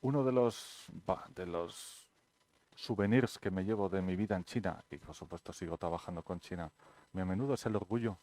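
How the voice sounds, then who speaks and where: A man's voice: low-pitched, moderate pace. A middle-aged man from Spain, the author, telling anecdotes at his book presentation and acting out the dialogue. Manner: lively storyteller, acts out quoted dialogue.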